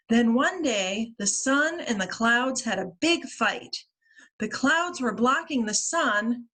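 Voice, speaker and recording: A woman reading a children's storybook aloud as a librarian at story time, with expressive, deliberate phrasing. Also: on the high side. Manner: expressive, deliberate phrasing with acted-out character lines.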